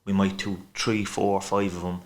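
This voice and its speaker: A man's voice: sits low, level tone, normal speed. A man with a thick Cork accent, a podcast guest chatting casually and rambling at length. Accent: Cork Irish. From Ireland. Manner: chatty, rambling, acts out quoted voices. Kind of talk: casual chat.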